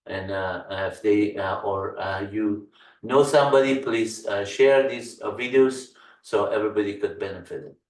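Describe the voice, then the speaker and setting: A man with an Afghan accent talks through a screen-share tutorial in a fairly low voice, stopping often on "uh" fillers. He sits back from the mic in a roomy space, so the sound comes with a clear echo. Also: darkish tone, distant mic. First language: Farsi. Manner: halting, many "uh" fillers. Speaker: Linux course instructor.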